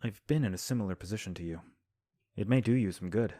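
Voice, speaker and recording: A man voicing a composed young prince in an audio drama, speaking in a low, mellow tone with calm, measured formality. The sound is dry and close, with no room around it. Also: no echo, lightly acted. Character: composed young male prince giving counsel. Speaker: voice actor. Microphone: home recording.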